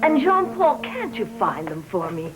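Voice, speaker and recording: An actress playing an anxious, excitable mother in a gothic soap opera, with a fretful, dramatic delivery. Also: on the high side, unhurried. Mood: agitated. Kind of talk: 1960s-70s gothic soap opera acting. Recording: dull old TV soundtrack, music behind.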